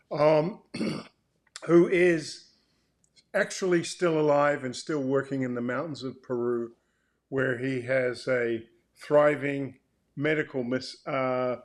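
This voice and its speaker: Male author-host, medium pitch, informal explanatory delivery, measured with hesitant phrasing.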